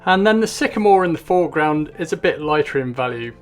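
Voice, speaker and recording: A man's voice: on the high side, animated, fast talker, soft background music. A man from England narrating a painting tutorial in a friendly, explanatory way.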